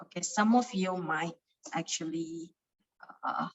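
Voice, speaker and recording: Young Malaysian woman, Cantonese native, speaking accented English in a halting, filler-heavy personal share. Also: fairly low pitch, highs cut off. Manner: hesitant, many fillers and repeated words. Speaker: study group member sharing experience. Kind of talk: personal sharing talk.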